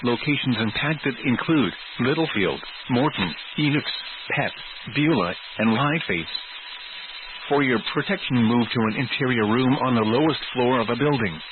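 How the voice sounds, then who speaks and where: A synthesized male voice reads scripted emergency weather bulletins in a formal, measured, impersonal way as an automated radio announcer. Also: mid-range voice, lively intonation. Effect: synthesized text-to-speech voice. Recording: tinny narrowband weather radio, clear static noise.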